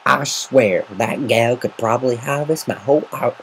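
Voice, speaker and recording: A man's voice: mid-range voice, normal speed, slight background noise, close to an everyday voice, poor audio. Man voicing a folksy, amused farm pony gal.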